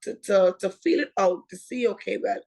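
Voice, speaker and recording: A Bahamian woman in her twenties, a guest telling her story in a casual, unhurried interview. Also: medium pitch, animated, gravelly, a little mellow. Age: 20s. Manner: unhurried, repeats "what had happened was".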